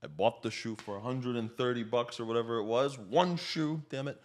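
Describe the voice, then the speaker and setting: A man's voice: medium pitch, fairly quick. A man with a slight Slovak accent, a native Slovak speaker, telling stories casually in a rambling, self-interrupting way as a podcast guest. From child of Slovak parents. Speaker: podcast guest, real estate agent. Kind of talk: casual animated storytelling.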